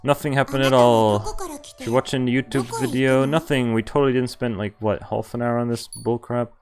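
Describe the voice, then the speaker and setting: A man's voice: medium pitch, steady tone, a little fast, darkish tone, clear, resonant tone. A man giving casual live commentary as a game streamer, muttering wry, mildly exasperated asides. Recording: music playing behind the voice. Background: video game music.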